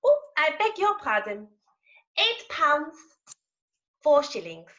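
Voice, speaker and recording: A woman's voice: high voice, wildly swooping pitch, slow, fairly bright tone, exaggerated voice. A woman playing a deferential, fussy waiter in a Victorian farce reading, polite but insistent, over lo-fi remote audio. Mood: politely insistent. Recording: dull, lo-fi remote call audio.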